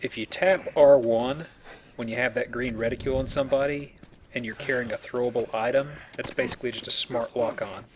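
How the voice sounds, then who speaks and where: A man's voice: medium pitch, fairly even intonation, fairly quick, slight background noise. Joking man doing game commentary. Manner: joking, colloquial. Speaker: video game let's player. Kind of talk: casual gameplay commentary with explanations.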